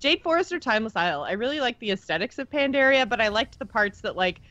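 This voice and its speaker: A woman's voice: on the high side, big pitch swings, fast talker, pushed and bright, no echo, highs cut off. Enthusiastic woman podcaster, dry dullish recording. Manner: enthusiastic, informal. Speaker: podcast co-host. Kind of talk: casual podcast chat about gaming.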